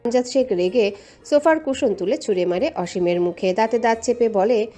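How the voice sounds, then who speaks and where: A woman's voice: fairly high pitch, normal speed, mellow. A woman reading a scripted romantic story as a dramatic narrator, with music behind her. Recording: music under the voice. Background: background music.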